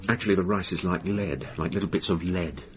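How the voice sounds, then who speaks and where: A man's voice: sits low, brisk, a crowd in the background, close to an everyday voice. An actor in a radio drama playing an earnest, touchy male hypnotherapist with an intent, insistent manner. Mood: defensive, irritated. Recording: dull lo-fi radio recording with music.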